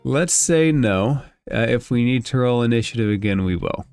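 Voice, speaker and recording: A man's voice: medium pitch, animated, moderate pace, a little mellow, clean tone, faint music behind. A man narrating a tabletop role-playing game as the game master.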